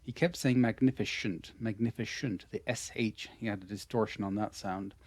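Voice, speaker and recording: A man's voice: lowish voice, moderate pace, no echo. Patient Irish man teaching pronunciation. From Ireland. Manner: patient, encouraging, repeats praise. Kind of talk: online pronunciation lesson.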